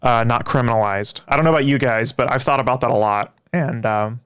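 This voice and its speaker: A young man, a native Greek speaker, chatting with his livestream audience in a rambling, halting way with many restarts. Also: mid-range voice, a bit bright, clear, resonant tone. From Greece. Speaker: livestream host. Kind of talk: casual livestream chat.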